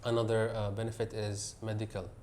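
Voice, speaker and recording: Middle-aged emirati guy being interviewed, lowish voice, lots of ums, faint hiss behind. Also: flat delivery, brisk, darkish tone. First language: Arabic. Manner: hesitant, many fillers, mixes English and Tagalog. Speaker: guest. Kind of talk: casual interview answers.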